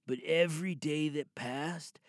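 A man's voice: fairly high pitch, measured pace, dry recording, close to an everyday voice. Male actor as earnest, curious man in an audio drama monologue, hesitant and intimate, dry close sound. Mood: earnest, confiding.

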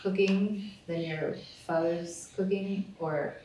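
A woman interviewer asking long, curious questions, with soft affirmations between the answers. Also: fairly low pitch, steady tone, takes it slowly. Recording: echoey room, distant mic, light noise.

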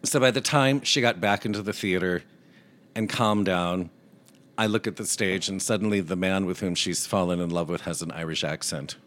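A soft-voiced man co-hosting a talk show, telling an animated anecdote and mimicking the people in it. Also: sits low, normal speed. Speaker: podcast co-host. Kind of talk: casual storytelling chat.

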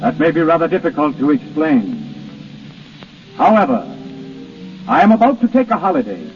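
An actor playing Death as a weary, lonely, courtly visitor, speaking grandly and formally in 1930s radio drama style. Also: male voice, fairly high pitch, big pitch swings, normal speed, faint music behind. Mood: weary, yearning. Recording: muffled 1930s radio broadcast recording.

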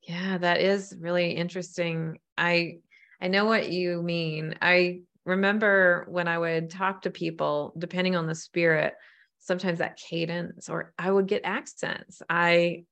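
A woman who works as a spiritual medium, talking casually on a podcast and telling animated stories that act out quoted dialogue. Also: fairly low pitch, unhurried.

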